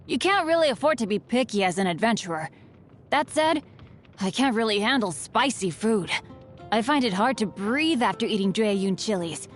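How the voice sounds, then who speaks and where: A woman's voice: a little high, expressive, normal speed, lightly acted. A woman voicing an upbeat, earnest teenage boy adventurer in a video game, eager and boyish. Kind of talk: scripted game voice lines. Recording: music behind the voice.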